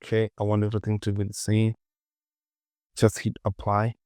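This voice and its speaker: Male tutorial instructor, low and unhurried, informal short phrases, close dry recording. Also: monotone, darkish tone, smooth, dry recording. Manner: informal, muttered short instructions to self and viewer. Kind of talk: casual screen-recorded software tutorial, talking while working.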